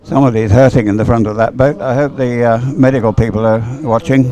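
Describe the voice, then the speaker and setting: Male sports commentator, medium pitch, unscripted, halting and rambling delivery. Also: steady tone, dark tone. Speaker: race commentator. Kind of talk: live off-the-cuff sports commentary.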